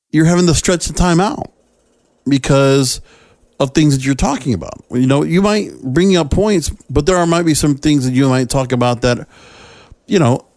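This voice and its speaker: A man's voice: medium pitch, a little mellow, dry recording, studio quality. A man hosting a podcast solo, giving opinionated commentary in a conversational, emphatic, somewhat rambling way with frequent check-ins to the listener. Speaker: podcast host. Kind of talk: opinionated solo commentary, part reading from notes.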